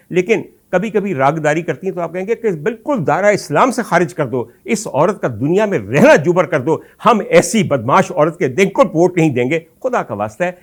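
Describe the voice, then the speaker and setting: A man with a Pakistani accent hosting a talk show, delivering a rhetorical monologue with deliberate emphasis, addressed straight to his audience. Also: medium pitch. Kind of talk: monologue commentary addressed to audience, mixing Urdu and English.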